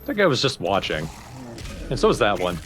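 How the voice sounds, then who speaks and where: Deep-voiced man, casual co-commentator. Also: huge pitch swings, fairly quick, fairly bright tone, soft background music. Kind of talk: casual chat over gameplay.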